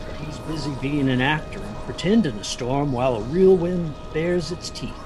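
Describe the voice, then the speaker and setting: An actor playing an anxious, guilt-ridden young son, narrating a story in the first person with literary intensity. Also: male voice, on the high side, moderate pace, background noise, close to an everyday voice. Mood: guilty.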